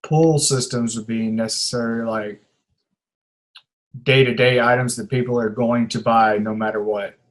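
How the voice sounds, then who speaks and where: A man's voice: medium pitch, fairly even intonation, unhurried. A male student talks in a mid-range voice on an online class call. He speaks casually, putting questions and restating ideas to check his understanding. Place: online video conference.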